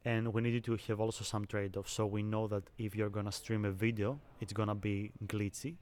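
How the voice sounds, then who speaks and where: A young man from Greece, a software engineer, calmly explaining technical work in an interview. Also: fairly low pitch, brisk, a little hiss or hum. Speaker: software engineer, conference speaker. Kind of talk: interview answers.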